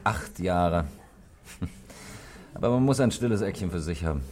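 Low, nonchalant urbane café regular. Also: male voice, expressive, unhurried, a little mellow. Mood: sociable. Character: urbane, evasive male bank employee, café regular. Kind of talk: German radio crime drama acting.

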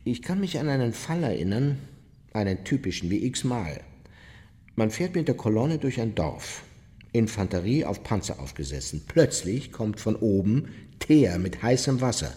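Unrepentant war criminal, low-voiced, reverberant. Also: male voice, very animated, moderate pace, mellow. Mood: agitated, boastful. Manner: matter-of-fact, blunt, self-justifying. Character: unrepentant male Nazi war criminal recounting his deeds, coldly self-justifying. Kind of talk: German radio play, interview testimony. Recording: long reverberant hall.

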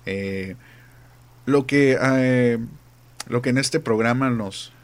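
A man interviewing a guest in a recorded online chat, relaxed and hesitant. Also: medium pitch, slow. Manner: relaxed, hesitant, many fillers.

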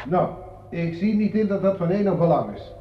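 An irritable, self-important theatre director in a vintage radio play. His husky, slightly high voice is brusque and defensive. The sound is dull and lo-fi. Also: moderate pace. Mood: defensive, flustered. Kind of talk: Dutch radio play acting. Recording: dull, lo-fi old radio recording.

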